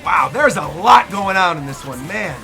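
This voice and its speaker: A man hosting a casual reaction show, commenting on game trailers in an animated, exclaiming way with playful mock outrage. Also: high voice, moderate pace, faint music behind.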